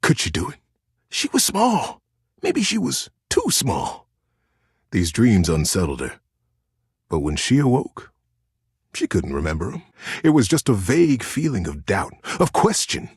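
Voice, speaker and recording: A man tells a children's story with dramatic emphasis and gentle suspense. His mid-range voice sweeps widely up and down with a soft husk to it. The recording is dry and close. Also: medium pitch, huge pitch swings, unhurried, long pauses, husky, no room sound.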